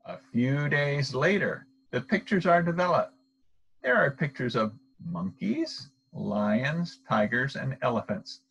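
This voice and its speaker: A middle-aged man reading a children's picture book aloud in a deliberate, expressive storytelling manner, recorded outdoors. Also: medium pitch, creaky, husky, muffled recording. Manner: deliberate, expressive delivery for young listeners. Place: outdoors, in an open wooded park.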